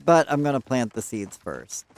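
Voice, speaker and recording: A man's voice: medium pitch, very animated, moderate pace, a little hiss or hum. A man chatting casually with viewers while playing a game, upbeat, saying y'all, and losing his voice. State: losing his voice, clearing throat. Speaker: game streamer. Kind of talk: casual live-stream chat to viewers.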